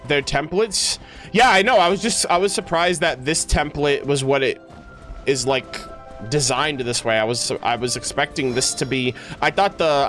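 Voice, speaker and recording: A man giving casual livestream commentary, rambling and halting as he thinks aloud. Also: mid-range voice, a bit bright. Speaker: streamer. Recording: music playing behind voice. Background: music.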